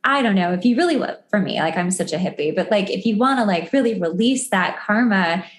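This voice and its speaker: A woman's voice: mid-range voice, lively intonation, a little fast. A woman hosting a podcast, talking in an informal, chatty way about personal things in casual conversation. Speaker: podcast host. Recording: roomy, echoey sound.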